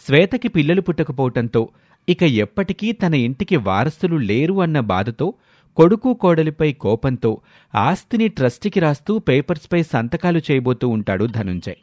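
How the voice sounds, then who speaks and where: A man's voice: a little high, sing-song, normal speed, background noise. A man narrating a scripted moral story as a storyteller.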